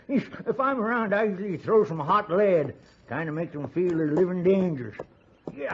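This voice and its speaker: An actor playing a spry, boastful old frontiersman with a folksy American Western drawl, in a 1950s radio Western. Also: high voice. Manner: folksy drawl, rambling, chuckling, chatty. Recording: dull, hissy 1950s radio recording.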